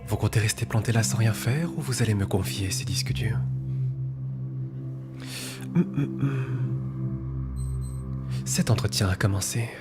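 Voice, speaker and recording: A man acting in a dubbed TV thriller as a cold, condescending, time-obsessed hacker leader, speaking in a breathy, hushed, precise and formal way. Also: lowish voice, measured pace, long pauses. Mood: cold, impatient. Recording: loud music under the voice.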